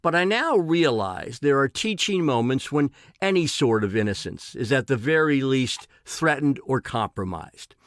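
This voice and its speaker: A man's voice: mid-range voice, lively intonation, normal speed, few pauses, a bit bright, no echo, studio quality. A man reading his own personal essay in an earnest, emphatic way.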